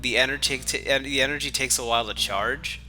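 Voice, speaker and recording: A man's voice: medium pitch, fairly even intonation, a little fast, pushed and bright, faint music behind. A man doing a mocking dramatic reading with sweary, joking commentary and a mock-dramatic manner.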